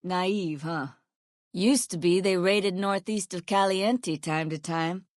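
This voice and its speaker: A woman's voice: lowish voice, slow, no echo, studio quality. A woman playing a foul-mouthed, sardonic ex-sheriff turned caravan guard in a video game, blunt and folksy in her speech. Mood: weary, matter-of-fact. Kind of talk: video game character voice lines.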